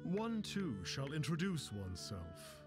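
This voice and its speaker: An actor playing a pompous, falsely modest immortal sage in a video game, speaking in lofty, archaic phrases. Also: male voice, on the high side, huge pitch swings, a little slow, music in the background. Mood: lofty, self-important. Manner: lofty, archaic, calls himself "one".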